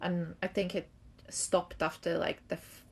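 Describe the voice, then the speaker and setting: A woman's voice: fairly low pitch, flat delivery, unhurried, slightly rough. A young woman from Latvia co-hosting a podcast, speaking hesitantly and thoughtfully. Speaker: co-host. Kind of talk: casual chat.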